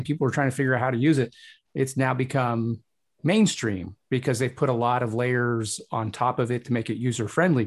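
A man hosting a podcast interview over a video call, speaking in a relaxed, hesitant way and often restarting his phrases. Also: medium pitch. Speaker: podcast host.

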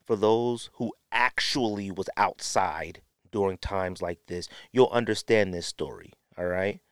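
American guy podcast host, lowish voice, dry close sound, slangy storytelling with acted out quotes. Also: unhurried, clean tone, no room sound. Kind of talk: casual storytelling podcast talk.

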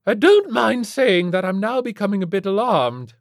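A man voicing a haughty, demanding rich matron in an audiobook story, loud, imperious and formal. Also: sits high, expressive, normal speed, no echo, studio quality, pitched above the performer's own voice. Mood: prim alarm.

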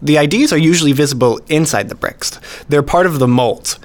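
A man's voice: on the high side, a little fast, natural voice. An actor playing a modest, precise male hobbyist collector, explaining things methodically in a radio play. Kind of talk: scripted dialogue, explaining.

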